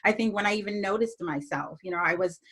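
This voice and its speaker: A woman from Jamaica speaks as a podcast guest in a mid-range voice. She talks reflectively, pausing on fillers and restarting her sentences. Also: medium pitch, a bit bright, slightly rough. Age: adult, moved abroad as young teen. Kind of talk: casual interview talk.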